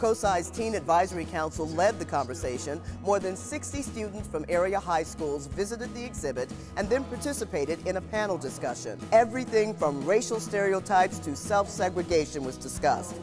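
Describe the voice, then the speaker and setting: Lady host reading a news feature, measured and even, soft music under it. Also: fairly low pitch. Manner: measured, inviting questions to viewers. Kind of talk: scripted news narration.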